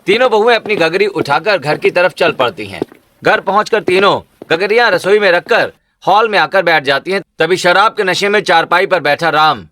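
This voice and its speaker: A man's voice: high-pitched, expressive, moderate pace, bright, forceful tone, a little hiss or hum. A man reading scripted narration for a story, as the narrator.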